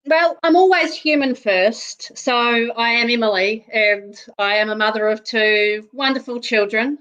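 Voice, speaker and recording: A woman's voice: a little high, unhurried, fairly bright tone, muffled recording. A woman in her thirties from New Zealand with a New Zealand accent, guest on a live interview show, talking earnestly and laughing between phrases.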